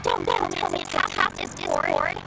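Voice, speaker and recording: A woman's voice: normal speed, very noisy, natural voice. A woman playing a friendly, chatty young female patroller in a video game, with a chirpy, eager manner. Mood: flustered. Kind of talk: video game character acting. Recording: loud game music behind the voice. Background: loud music.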